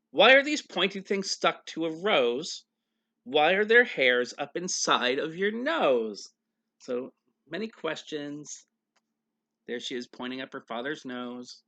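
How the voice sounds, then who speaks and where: A man reading a picture book aloud like a teacher, emphatic and expressive, with casual explanatory asides for young listeners. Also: fairly high pitch.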